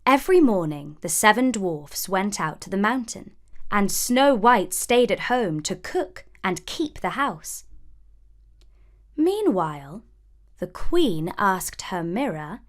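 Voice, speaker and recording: A woman narrating a scripted children's fairy tale as a storyteller, expressive and deliberate. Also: mid-range voice.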